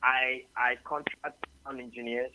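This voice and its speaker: Ghanaian man, bright tense tone, dry close sound, rambling guest. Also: medium pitch, flat delivery, measured pace, dry recording, low-quality recording. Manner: rambling, tags sentences with "you know". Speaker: singer-songwriter and label head. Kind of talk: radio interview, casual.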